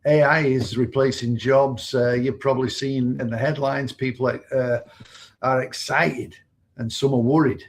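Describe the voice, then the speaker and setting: A man's voice: mid-range voice, steady tone, measured pace. A man from Manchester with a Mancunian accent, co-hosting a casual live stream in a rambling, conversational way. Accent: Mancunian English. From Manchester, England. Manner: conversational, rambling, uses fillers. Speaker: co-host.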